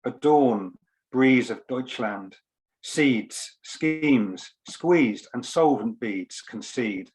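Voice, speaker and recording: A man reading poetry and introducing it in a measured, deliberate way. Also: mid-range voice, lively intonation, a little mellow, rough recording. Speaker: poet reading at a book launch. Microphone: online video call.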